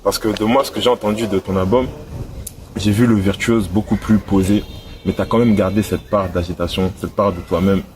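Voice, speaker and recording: A male interview host with a low voice asks questions calmly and keeps his replies short. He is picked up from a distance, with clear noise running behind him. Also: brisk. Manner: calm, short acknowledgements. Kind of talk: interview hosting.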